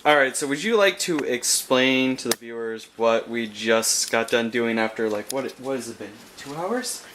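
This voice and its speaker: A man's voice: mid-range voice, lively intonation, normal speed, noisy background. A young man vlogging casually into a handheld camera, rambling and losing his train of thought. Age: young adult. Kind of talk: casual chat.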